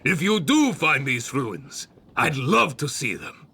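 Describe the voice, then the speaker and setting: A man voicing a friendly middle-aged guild clerk in a video game, hearty and drawn-out. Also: a little high, big pitch swings, sharp tone, slight background noise, exaggerated voice.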